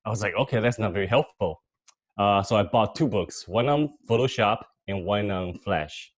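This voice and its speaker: A man with a lowish voice tells his career story on a video call, relaxed and full of small fillers. He grew up between Taiwan and the United States. The sound has the close feel of a computer mic. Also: moderate pace. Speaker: guest speaker.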